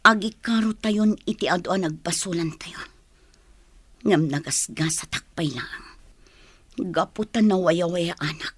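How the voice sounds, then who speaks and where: A woman's voice: on the low side, a little slow, long pauses, a bit bright, breathy edge, dry recording. A woman with an Ilocano Filipino accent playing a wronged, sorrowful mother in an emotional radio drama. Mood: bitter, stern. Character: wronged, sorrowful mother newly freed from prison.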